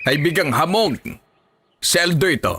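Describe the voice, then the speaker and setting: A man's voice: fairly high pitch, animated, normal speed, lightly acted. A man with a Filipino accent playing a grieving, resolute monster hunter in a horror audio story. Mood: pleading. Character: grieving, defiant male aswang hunter. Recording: faint music behind the voice.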